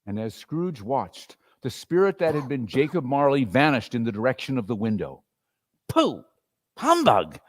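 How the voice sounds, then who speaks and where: A man narrating a story as a dramatic reading, in an expressive, theatrical manner. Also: mid-range voice, moderate pace, few pauses. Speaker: narrator and performer.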